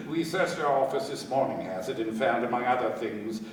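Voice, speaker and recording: An actor playing a composed police inspector, speaking formally with dry irony in a radio crime drama. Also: male voice, medium pitch, close to an everyday voice. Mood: explaining, assured. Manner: measured, formal, dryly ironic. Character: composed, dryly triumphant male police inspector. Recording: very echoey, distant, faint noise.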